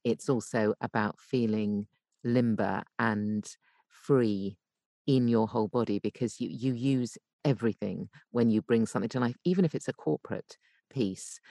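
A British woman talking conversationally as an interview guest, explaining things in an animated, emphatic way from a home voice booth. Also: rumbling low, moderate pace, no echo, studio quality. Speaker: podcast guest, voice actor and coach.

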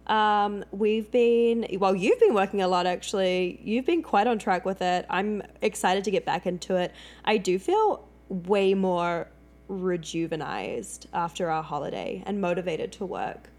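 A woman co-hosting a podcast, chatting in a relaxed, rambling way while she is still recovering from a cold. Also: medium pitch, measured pace. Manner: relaxed, rambling, swears casually. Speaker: podcast co-host. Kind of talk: casual chat.

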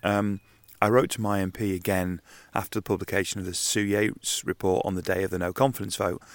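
A man hosting a casual solo podcast, speaking outdoors in a garden. He is croaky and nasal from hay fever, and rambles conversationally with frequent ums and restarts. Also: low voice, flat delivery, brisk, dry recording. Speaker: podcast host.

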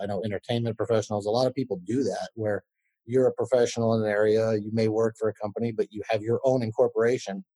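A man in his thirties speaks in a low, mellow voice with a slightly husky texture. He is an attorney on a podcast and answers in a measured, conversational way, pausing on fillers and restarting his sentences. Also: rough recording.

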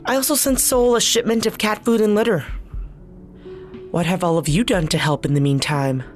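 A woman's voice: mid-range voice, normal speed, close to an everyday voice. A woman playing an efficient, dryly superior teammate in a fiction podcast, with a businesslike manner. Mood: dry, smug. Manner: dry, businesslike. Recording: music underneath.

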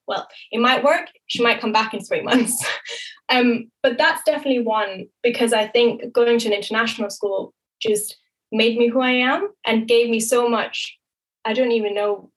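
A young woman who grew up in Thailand, talking casually as a podcast guest, often tagging her points with "right?" and quick laughs. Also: a little high, a bit bright, rough recording. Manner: chatty, frequent "right?" tags and quick laughs. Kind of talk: casual interview talk.

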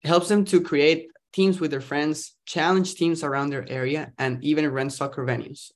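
A man's voice: a little high, steady tone, normal speed. A young man, chatting upbeat as a podcast guest. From Costa Rica. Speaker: guest, startup founder. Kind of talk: casual interview chat.

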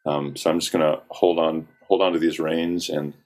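Young guy with a super deep voice, pretty monotone, rambly interview chat full of ums. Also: moderate pace. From South Carolina, US. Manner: relaxed, rambling, frequent ums and likes. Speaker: guest singer-songwriter. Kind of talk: casual interview talk.

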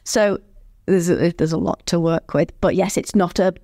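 A woman, a former ballerina who now works as an audio describer, telling stories in a lively, good-humoured way. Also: on the low side, moderate pace, dry recording, clean studio sound. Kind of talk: conversational storytelling.

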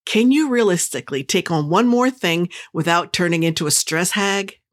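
Energetic female host with a somewhat low voice, giving an upbeat motivational monologue straight to the listener. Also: moderate pace. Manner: upbeat, enthusiastic, pep-talk delivery; direct, playful, speaks straight to the listener. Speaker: podcast host.

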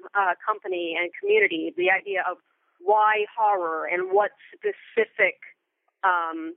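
Heard over a narrow, telephone-like connection with no room sound, an American woman in her thirties gives interview answers. She is conversational and thoughtful, choosing articulate phrases and leaning deliberately on certain words. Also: medium pitch, no room sound. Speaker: interview guest, theater company member and Foley artist. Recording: thin, phone-like remote line.

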